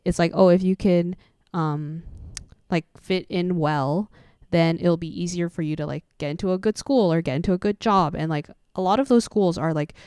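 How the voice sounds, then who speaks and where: Mellow, smooth-voiced young woman co-host. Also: fairly low pitch, normal speed, no echo, clean studio sound. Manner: reflective, thoughtful, frequent "like". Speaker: podcast co-host. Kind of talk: casual podcast chat.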